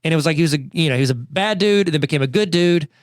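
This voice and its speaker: A man's voice: on the high side, racing, no echo, clean studio sound. A man co-hosting a podcast, recapping at length in casual chat with many restarts and quoted asides. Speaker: podcast co-host.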